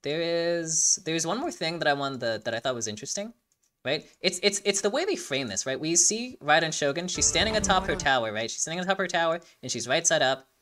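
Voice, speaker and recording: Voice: medium pitch, expressive, fairly quick, fairly bright tone. An enthusiastic host giving casual reaction commentary, rambling and often repeating phrases while searching for words. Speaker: video host.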